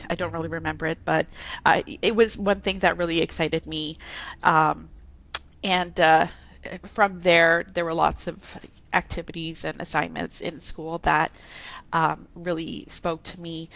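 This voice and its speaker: A woman from Canada talks as an interview guest, pausing on ums and restarting her sentences. Her voice sits low with a gravelly fry. The recording sounds muffled and lo-fi. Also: a bit bright. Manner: chatty, many ums and restarts. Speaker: author, guest. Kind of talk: casual interview talk.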